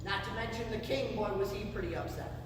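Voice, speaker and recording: A man's voice: sits high, fast talker. Showman on a distant, echoing stage. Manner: showman-like, grandiose, teasing delivery. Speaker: stage performer and show host. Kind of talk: theatrical comedic stage patter for a live audience. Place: live festival performance before an audience. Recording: echoey live stage, distant and noisy.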